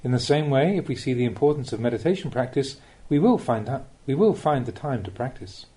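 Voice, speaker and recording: A man, a Buddhist monk, reading a scripted spiritual teaching as an audiobook, with measured, deliberate phrasing and rhetorical questions. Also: medium pitch, lively intonation, dark tone.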